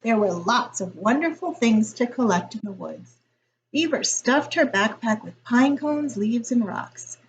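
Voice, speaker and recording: A woman reading a picture book aloud as a teacher would, in a deliberate, expressive storytelling style. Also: on the high side, a little hiss or hum, rough recording.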